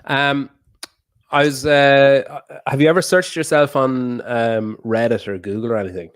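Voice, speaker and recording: A man's voice: mid-range voice, steady tone, measured pace, clear, resonant tone, no room sound, studio quality. A man hosting a podcast in loose, casual chat, rambling and swearing freely as he reads sponsors and talks with his guest. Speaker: podcast host.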